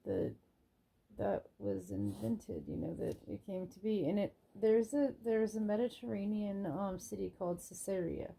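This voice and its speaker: A woman's voice: mid-range voice, moderate pace, creaky, dark tone, husky. A woman vlogger chatting casually about faith with her partner, opinionated and emphatic, often saying "like". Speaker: vlogger and mother. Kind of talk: casual religious chat with partner.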